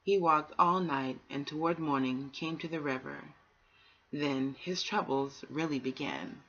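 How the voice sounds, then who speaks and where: A woman's voice: sits low, measured pace, a bit bright, slight background noise. A woman reading and hosting a children's bedtime story, with a nurturing, encouraging way of addressing young listeners. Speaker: bedtime story reader and host. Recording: dull, lo-fi home recording.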